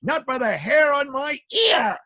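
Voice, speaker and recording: A man squeaking as panicky little pigs. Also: big pitch swings, a little slow, bright, forceful tone, exaggerated voice. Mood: flustered. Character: frightened forgetful little pigs.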